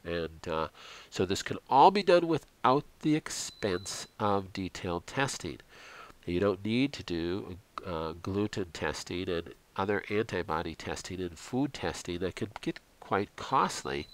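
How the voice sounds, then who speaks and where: A man's voice: sits low, expressive, measured pace. A middle-aged man narrating a slideshow health lecture in an earnest, instructive way, with frequent fillers. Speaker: chiropractor presenting a health program.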